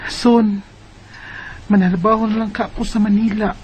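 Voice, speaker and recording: A woman's voice: mid-range voice, steady tone, normal speed, music in the background, close to an everyday voice. An actress playing a weary, determined young mother who pleads and insists in a radio drama. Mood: pleading. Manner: insistent, persuading. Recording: dull lo-fi radio drama recording.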